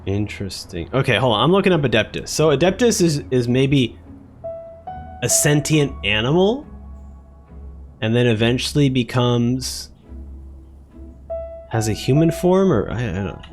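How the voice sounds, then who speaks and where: A man's voice: mid-range voice, big pitch swings, normal speed, pauses often. A man giving casual live commentary as a game streamer, thinking aloud in a hesitant, repetitive way. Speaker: streamer reacting to a game story. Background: game music.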